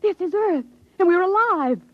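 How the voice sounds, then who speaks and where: An actress playing a devoted young scientist in a 1950s radio drama, with an earnest, breathless, melodramatic delivery. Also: female voice, very high-pitched, animated, moderate pace, no room sound. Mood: excited wonder. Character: devoted young female scientist fleeing an underground future society. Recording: muffled, husky-sounding 1950s radio recording.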